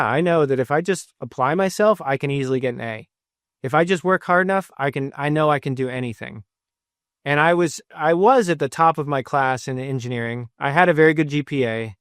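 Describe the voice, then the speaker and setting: A man's voice: mid-range voice, clean tone, dry recording, studio quality. A man in his thirties, a pastor giving an earnest sermon with self-deprecating personal stories, sometimes retelling scripture in the first person.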